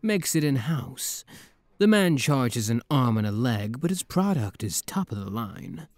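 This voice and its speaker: A man's voice: mid-range voice, very animated, unhurried, gravelly, no room sound. A man playing a playful, flirty demon prince with a teasing, theatrical manner in an intimate ASMR roleplay.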